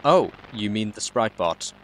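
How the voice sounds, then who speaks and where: A man's voice: lowish voice, expressive, unhurried, slight background noise, rough recording. A man voicing a mysterious hacker who speaks through a robot in a storybook reading, a blunt, helpful guide with a casual, matter-of-fact manner.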